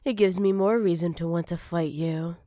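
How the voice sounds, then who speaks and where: A woman's voice: lowish voice, steady tone, moderate pace, mellow, dry recording, studio quality, close to an everyday voice. A woman playing a jealous, possessive villain with magic, teasing and menacing in a sultry ASMR roleplay. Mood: possessive, controlled.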